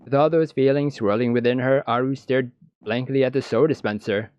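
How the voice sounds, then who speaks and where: A man reading visual novel text aloud as scripted narration, with a deliberate, dramatic storytelling delivery. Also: mid-range voice, steady tone, darkish tone, highs cut off.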